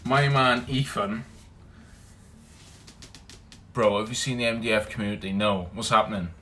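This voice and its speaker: A man's voice: fairly even intonation, moderate pace, a little hiss or hum. Upbeat Welsh guy chatting on a livestream. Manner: greets viewers loudly and energetically, drops to a low mumble when musing. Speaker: livestream host. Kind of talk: casual livestream chat reading comments.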